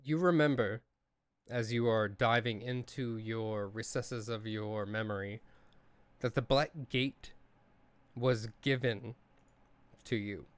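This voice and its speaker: A man narrating a tabletop role-playing game as game master, with measured, deliberate phrasing. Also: lowish voice, smooth.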